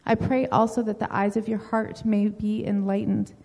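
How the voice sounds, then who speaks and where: A woman speaks earnest, encouraging words and reads a prayer aloud. Her mellow voice carries a long reverb, as if in a big hall. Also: mid-range voice, flat delivery, normal speed, vocal fry. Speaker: mentor addressing a newly baptized woman. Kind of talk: encouraging message and read-out prayer.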